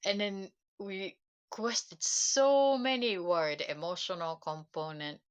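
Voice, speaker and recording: A woman with a Japanese accent, a native Japanese speaker, giving a reflective solo livestream talk in halting English, pausing to search for words. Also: mid-range voice, fairly even intonation, smooth. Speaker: self-help channel host.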